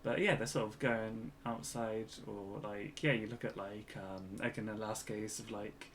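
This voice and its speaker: A man co-hosting a podcast in casual conversation, speaking tentatively and haltingly as he thinks aloud. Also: lowish voice, fairly even intonation, fairly bright tone, slight background noise. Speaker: podcast co-host.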